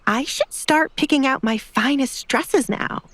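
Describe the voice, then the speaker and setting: A woman's voice: high voice, a little slow, fairly bright tone, no room sound, voice pushed up. A woman voicing a spoiled, vindictive young noblewoman with an imperious, scornful manner in an audio drama. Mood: smug.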